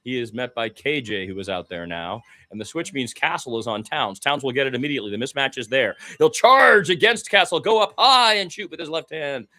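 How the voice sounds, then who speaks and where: A man doing live radio play-by-play of a basketball game, informal and opinionated, swinging between low-key asides and excited calls. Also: fairly quick, hardly any pauses. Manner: informal, opinionated, mildly profane.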